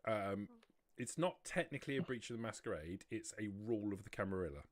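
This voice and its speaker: A man running a tabletop roleplaying game, narrating off the cuff in a halting, deliberate way. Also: lowish voice, lively intonation. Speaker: game master.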